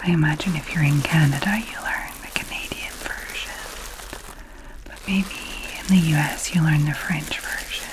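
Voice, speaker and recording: A woman with a Scottish accent, whispering slowly and intentionally in a chatty ASMR video, musing aloud close to the mic. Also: deep voice, low-quality recording. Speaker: ASMR creator.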